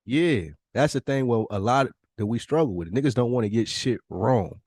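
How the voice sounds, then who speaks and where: A man's voice: mid-range voice, lively intonation, moderate pace, darkish tone, no echo, studio quality. A man in casual podcast conversation, speaking bluntly with slang, swearing and emphatic repetition. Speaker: podcast co-host.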